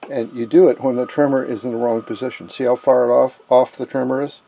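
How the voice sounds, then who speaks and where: A man giving an informal technical demonstration of vintage radio gear, explaining and pausing to point things out. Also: medium pitch, little pitch movement, vocal fry, very noisy. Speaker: host, electronics hobbyist.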